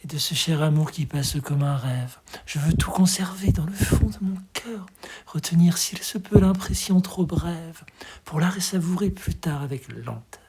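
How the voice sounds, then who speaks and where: A hushed, breathy voice giving an expressive, tender recitation of poetry in a measured way. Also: medium pitch, a little hiss or hum. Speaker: poetry reader.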